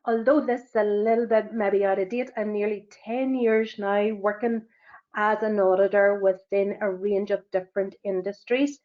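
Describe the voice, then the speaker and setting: A middle-aged woman with a Northern Irish accent presenting a business webinar, talking quickly with frequent fillers. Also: mid-range voice, dark tone. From Northern Ireland. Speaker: sustainability assessor and webinar presenter. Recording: dull, lo-fi webinar audio.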